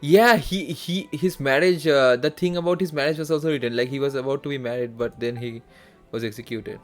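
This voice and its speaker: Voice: medium pitch, a little fast. A game streamer giving casual live commentary, hesitant and muttering reactions to themselves. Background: game music.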